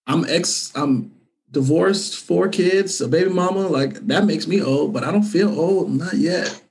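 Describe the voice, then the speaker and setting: A man's voice: on the high side, unhurried, poor audio. A man in his thirties chatting casually and easygoing in a group talk. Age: 30s. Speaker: panel guest.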